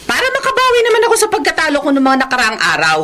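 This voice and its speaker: A brash, animated high-voiced gambler. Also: female voice, rapid-fire, crowd noise. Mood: greedy, excited. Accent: Filipino. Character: greedy, brash gambler running the card table. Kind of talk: Filipino radio drama acting. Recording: music behind the voice.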